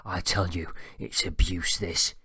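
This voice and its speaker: A man voicing a crude, abusive, bedridden old father, gruff and demanding. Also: low-pitched, steady tone, a little slow, pushed and bright, no echo, full character voice. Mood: bellowing. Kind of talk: audiobook character voice.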